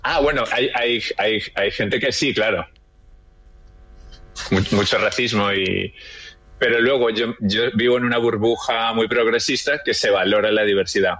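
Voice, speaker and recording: Guy w colombian roots, lowish voice, thoughtful chat on the radio. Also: fairly even intonation, moderate pace, a bit bright, rough recording. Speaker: guest. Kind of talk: casual chat.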